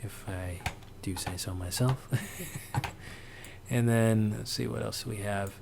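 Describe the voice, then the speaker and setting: Low-voiced man casually thinking aloud. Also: slow, heavy background noise. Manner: hesitant, thinking aloud, throat-clearing. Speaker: sound designer presenting a tutorial. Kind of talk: casual screen-recorded walkthrough.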